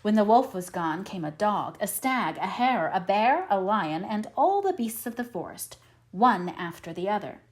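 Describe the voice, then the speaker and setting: Female storyteller for fairy tale narration, highly expressive pitch, mid register. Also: moderate pace. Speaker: storyteller, audiobook reader.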